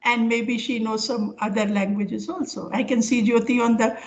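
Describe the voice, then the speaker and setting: An older woman with an Indian accent giving an informal academic lecture over a video call, speaking haltingly as she explains with examples. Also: on the high side, fairly even intonation. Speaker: professor giving an online lecture. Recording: dull, lo-fi online call audio.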